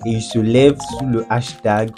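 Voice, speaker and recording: A man talks through the news in a loose, casual way, dropping wry jokes and small laughs. His voice sits on the lower side. Steady music runs underneath him. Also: moderate pace. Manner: chatty, wry asides, light laughs. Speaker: video essayist host. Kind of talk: casual commentary over news clips. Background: background music.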